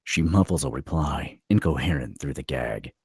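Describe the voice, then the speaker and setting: A man narrating a screenplay at a table read, with a gravelly, husky voice. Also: extremely low pitch, animated, normal speed, no room sound, clean studio sound.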